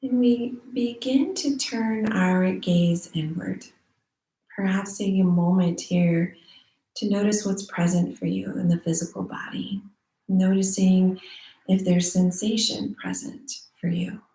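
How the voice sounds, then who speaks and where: A woman teaching guided meditation in a soothing, unhurried manner with long pauses. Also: medium pitch, steady tone, warm tone. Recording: roomy echo.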